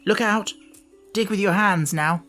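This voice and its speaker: A woman's voice: mid-range voice, unhurried, fairly bright tone, faint music behind, voice pushed up, natural voice. A woman voicing a grumpy, blunt schoolboy in a storybook, with a snappish, brusque manner.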